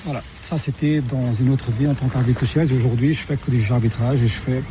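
A man's voice: mid-range voice, steady tone, brisk, noisy background. A middle-aged man from Portugal, a tennis referee being interviewed, talking with many hesitations and restarts. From Portugal, born in Mozambique, raised in Lisbon. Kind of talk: radio interview, recounting career.

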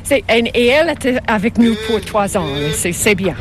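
An older woman from Canada, a native English speaker with an English Canadian accent, answering interview questions in halting second-language speech. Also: fairly high pitch, expressive, music in the background. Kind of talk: casual conversational interview.

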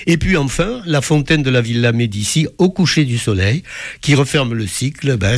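A man speaks in a very dry, close recording. He reviews music as a critic would, with effusive enthusiasm and ornate, literary phrasing. His voice sits at an ordinary male pitch. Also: dry recording. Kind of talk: enthusiastic spoken concert review.

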